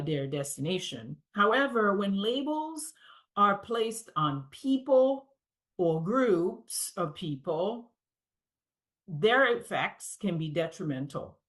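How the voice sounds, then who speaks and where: A woman in her forties with a Haitian accent delivers a prepared conference talk. She speaks very slowly and deliberately, as if reading each line, in a somewhat low voice. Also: a bit bright.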